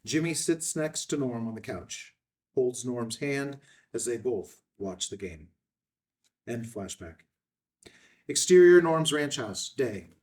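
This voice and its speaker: A man's voice: medium pitch, lively intonation, takes it slowly, darkish tone, far from the mic. A man reading screenplay stage directions as a scripted narrator.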